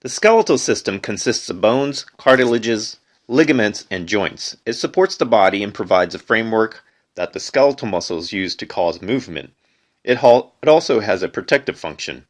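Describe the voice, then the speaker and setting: Low-pitched man reading a textbook aloud. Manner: deliberate phrasing, reads headings aloud, stumbles and corrects himself. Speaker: amateur reader of a textbook. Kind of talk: unedited educational read-aloud.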